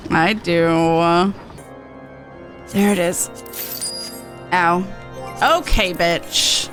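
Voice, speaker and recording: A woman's voice: on the low side, steady tone, extremely slow, fairly bright tone, soft background music. A woman game streamer chatting casually through a playthrough and reading out on-screen text.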